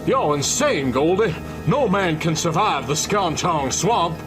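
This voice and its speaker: A man acts out a prison warden in a horror comic tale, anguished and weary. He pleads in formal, melodramatic phrases in a lifted voice over loud music. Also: a little high, animated, a little slow, pitched above the performer's own voice. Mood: alarmed. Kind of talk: dramatic horror comic reading.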